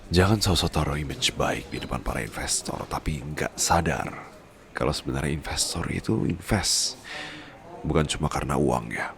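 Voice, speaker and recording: A man acts out a rich, domineering young boyfriend in an ASMR roleplay. His voice is very low, with a scolding, insistent manner that stays doting and protective. He mixes in English words, and ambient noise sits behind him. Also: lively intonation, normal speed, gravelly, exaggerated voice. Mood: stern, sarcastic.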